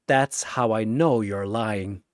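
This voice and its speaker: A narrator playing a grim, measured masked avenger. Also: mid-range voice, dry recording, clean studio sound, lightly acted. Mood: quiet, grave. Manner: measured, solemn, cold pronouncements. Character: grim, disciplined masked male avenger, icy and solemn.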